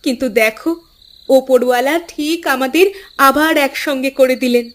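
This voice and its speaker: A woman's voice: high-pitched, fairly even intonation, moderate pace, close to an everyday voice. An actress playing a weary, sorrowful village mother in a cartoon, with a plaintive, emotional delivery. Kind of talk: melodramatic cartoon dialogue. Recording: music behind the voice.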